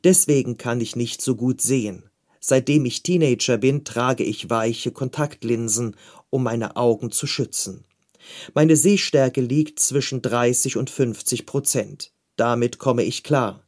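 Slow, measured German man reading an article. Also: mid-range voice, darkish tone. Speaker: reader of a magazine article.

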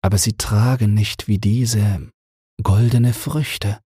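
A man voicing a gentle, grave queen of summer in a fairy-tale audiobook, speaking softly and slowly with wistful yearning. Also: lowish voice, fairly even intonation, breathy edge, dry recording, clean studio sound, pitched above the performer's own voice, full character voice. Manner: slow, soft, yearning.

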